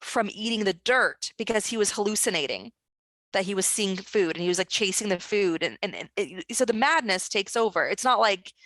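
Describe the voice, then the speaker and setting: A woman author and screenwriter speaking as a guest in an online discussion, talking in an earnest, urgent way with quick restarts. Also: medium pitch, animated, a bit bright, no room sound. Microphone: video call.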